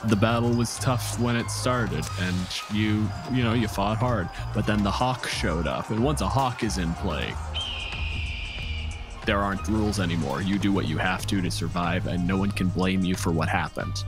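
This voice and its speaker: A man's voice: low voice, normal speed. A man hosting a tabletop game as game master, in casual banter with the players. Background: music.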